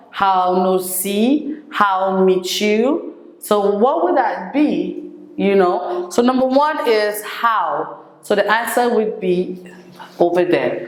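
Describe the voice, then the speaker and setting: A woman teaching an English lesson, repeating each phrase and translating it into French. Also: mid-range voice. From Senegal. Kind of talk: classroom lesson, switching between English and French. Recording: echoey room.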